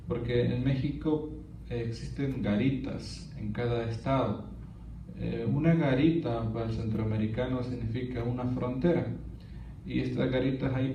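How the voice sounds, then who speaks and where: A man giving an earnest church testimony, often halting and restarting as he speaks. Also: lowish voice, dark tone, a little hiss or hum. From Honduras. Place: church hall. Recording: distant, roomy, dull church recording.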